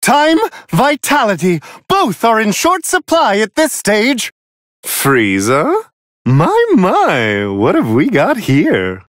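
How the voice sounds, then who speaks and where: A prissy polite angel fight announcer for a video game, airy high male voice, super swoopy, slow and amused. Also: wildly swooping pitch, fairly bright tone, no room sound, clean studio sound, heavily acted. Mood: amused, composed. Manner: genteel, theatrical, courteous, wryly amused. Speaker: match commentator.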